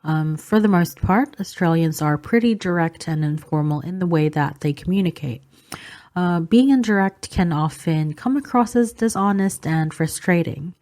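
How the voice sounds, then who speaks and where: A woman from the Philippines whose first language is Filipino, calmly explaining cultural differences in a vlog with frequent "um" fillers. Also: on the low side, normal speed. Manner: calm, informative, frequent "um" fillers. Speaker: vlogger.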